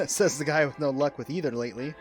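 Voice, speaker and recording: An actor playing an excitable, wisecracking man on a yacht in a radio drama, wry and colloquial. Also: on the high side, fast, faint music behind, lightly acted. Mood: teasing. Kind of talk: audio drama acting.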